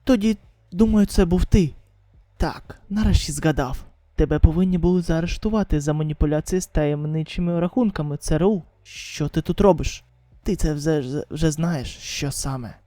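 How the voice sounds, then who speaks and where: A stern, accusing manga man, put on by a reader, speaks in a lowered, dark voice. He is gruff and threatening, with a very dry sound. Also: moderate pace, no echo, natural voice. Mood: suspicious, stern.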